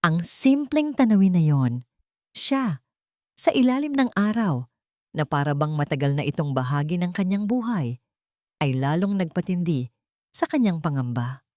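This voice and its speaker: A woman's voice: fairly low pitch, big pitch swings, moderate pace, long pauses, mellow, dry recording, clean studio sound. A woman giving a scripted, dramatic narration of a story.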